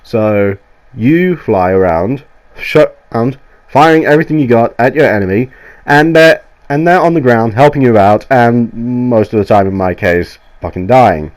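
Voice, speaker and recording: A man's voice: mid-range voice, normal speed. Nasal, sweary man with a cold. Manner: rambling, sweary, enthusiastic, restarts often. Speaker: gaming YouTuber. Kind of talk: casual game review voiceover. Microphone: voiceover mic.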